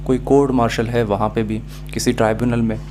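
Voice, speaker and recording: Even-toned man lecturing, faint hum. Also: mid-range voice, a little fast. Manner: didactic, checks in with listeners often. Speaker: law lecturer. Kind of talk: explanatory lecture mixing in English phrases.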